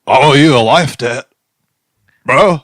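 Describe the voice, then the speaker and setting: A man plays a mellow-tempered hippie stoner dude on an improvised comedy podcast. He drawls in slang and tacks dude or bro onto nearly everything. His voice sits at an ordinary male pitch in a dry, close recording. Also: slow, no echo. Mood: amazed, grateful. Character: laid-back male hippie stoner dude called Chalmers.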